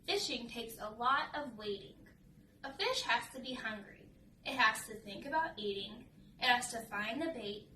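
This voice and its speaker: Father pig for a picture book, instructive and kindly encouraging, bright, distant from mic; female reader. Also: a little high. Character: patient, encouraging father pig teaching his son. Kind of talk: picture book read-aloud. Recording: roomy, distant mic with faint noise.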